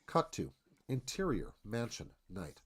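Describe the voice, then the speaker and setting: A man's voice: fairly low pitch, very animated, unhurried, husky. A man narrating scripted scene directions as the narrator of a radio theatre read.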